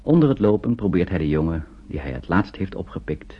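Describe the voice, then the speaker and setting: An actor narrating a radio play as a grave storyteller, in a measured, suspenseful, scripted style. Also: male voice, sits low, sing-song, slightly rough, full character voice. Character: grave storyteller recounting a wartime flight. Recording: dull old radio recording.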